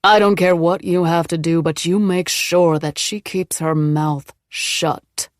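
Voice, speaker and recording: A woman's voice: fairly low pitch, steady tone, slow, dry recording, clean studio sound. A woman reading an audiobook, voicing an angry, threatening older man who growls orders. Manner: growling, commanding.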